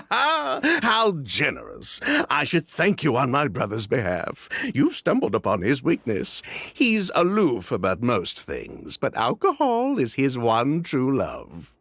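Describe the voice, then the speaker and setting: An actor playing an excitable, talkative young village man in a video game. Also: mid-range voice, takes it slowly, creaky, full character voice. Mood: amused, teasing.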